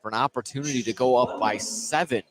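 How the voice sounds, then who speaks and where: A man's voice: mid-range voice, fast talker, a loud crowd. A man calling live basketball play-by-play as the announcer. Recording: noisy gym ambience, ball bounces. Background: basketball game in a busy gym.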